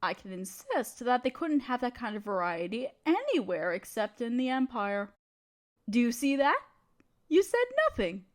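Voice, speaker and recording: High-pitched, haughty evil empress played by a woman. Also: sing-song, normal speed. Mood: indulgent, calculating. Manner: imperious, theatrical, conniving. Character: haughty, scheming evil empress, female, imperious yet playful. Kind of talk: scripted audio roleplay, speaking to a listener.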